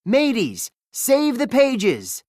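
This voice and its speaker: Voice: medium pitch, huge pitch swings, very slow, darkish tone, dry recording, studio quality, voice pushed up, heavily acted. A storybook narrator voicing a bold, cheerful boy hero in a rousing, exclamatory way. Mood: rallying.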